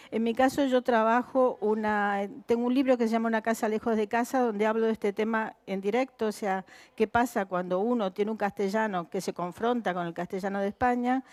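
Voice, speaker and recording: An older woman talks through her ideas on a festival stage, pausing on fillers and tagging her points with questions. The sound is dry, with little room echo. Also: mid-range voice, a little mellow. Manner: thoughtful, many fillers and tag questions. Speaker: writer on a panel. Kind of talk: panel discussion.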